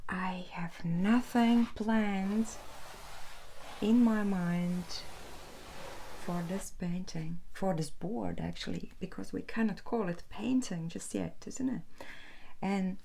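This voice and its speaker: A woman in her thirties speaking in a hushed, halting whisper as an ASMR host. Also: mid-range voice, vocal fry, a little hiss or hum, poor audio. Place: indoor room at home with brick wall.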